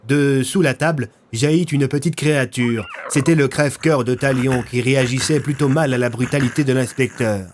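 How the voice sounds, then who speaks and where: A man's voice: mid-range voice, fairly even intonation, moderate pace, few pauses, noisy background. A man narrating a scripted audio story in the manner of a storyteller. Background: faint music.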